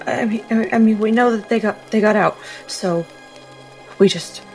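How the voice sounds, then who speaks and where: A woman's voice: medium pitch, normal speed, darkish tone, soft background music. A woman playing a tabletop roleplaying game, talking casually in character.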